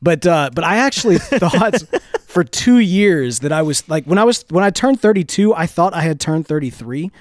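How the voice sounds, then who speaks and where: A man in his thirties co-hosting a casual chat, rambling and self-deprecating, with halting restarts. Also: on the high side, expressive, dry recording, studio quality. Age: 30s. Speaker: podcast co-host.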